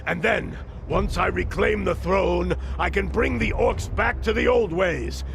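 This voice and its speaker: An actor playing a proud, earnest orc prince in a fantasy video game, with a declamatory manner. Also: male voice, sits high, a little slow, gravelly, fairly bright tone, slight background noise, exaggerated voice. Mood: earnest resolve.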